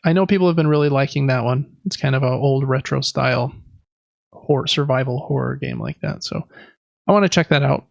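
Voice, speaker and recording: A man's voice: medium pitch, a little fast, gravelly, no room sound, lo-fi sound. A man hosting a podcast, chatting informally and conversationally about games, close to the microphone. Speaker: podcast host. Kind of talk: casual gaming podcast chat.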